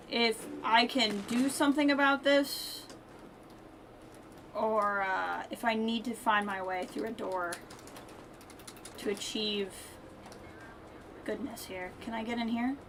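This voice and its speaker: A woman with a fairly high voice streams a game, chatting casually and thinking out loud. Faint game noise sits behind her. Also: measured pace, pauses often. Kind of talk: casual live gameplay commentary, chatting with viewers.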